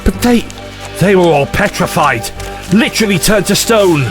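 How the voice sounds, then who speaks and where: Man playing an animated, rambling eccentric adventurer. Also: sits high, moderate pace, over music. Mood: panicked, urgent. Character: eccentric, excitable, rambling male time-travelling adventurer. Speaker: lead actor in an audio drama. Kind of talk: audio drama acting.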